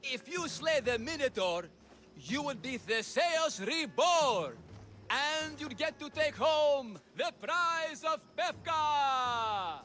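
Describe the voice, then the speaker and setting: High-pitched flamboyant Greek showman. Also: lively intonation, takes it slowly, sharp tone, full character voice. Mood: grandiose hawking. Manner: grandiose showman delivery, flustered stammering when challenged. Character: flamboyant scheming Greek showman hawking monster trials. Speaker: carnival-style promoter. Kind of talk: theatrical video game acting. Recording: music behind the voice.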